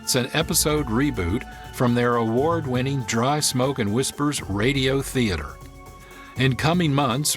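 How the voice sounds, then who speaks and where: Casting: male radio announcer, average pitch, measured scripted read, light music bed underneath. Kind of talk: scripted credits narration.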